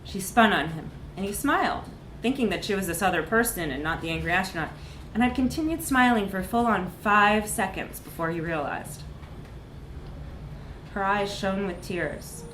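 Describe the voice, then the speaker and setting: A woman reads a comic short story at a live literary event in an echoey room with faint background noise. Her delivery is expressive, leaning on words for dramatic emphasis. Her voice sits at an ordinary female pitch. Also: moderate pace.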